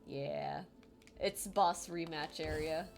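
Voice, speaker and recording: A woman's voice: low-pitched, takes it slowly, vocal fry, slight background noise. Chatty woman streamer, light Filipino accent. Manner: chatty, self-deprecating, laughs often, reads chat aloud. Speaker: game streamer. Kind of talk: casual live-stream chat while gaming. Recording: game music under the voice. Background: game music.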